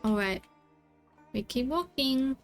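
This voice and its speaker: A woman's voice: high-pitched, animated, slow pace, faint music behind. A woman streaming a game, giving casual reaction commentary as she plays.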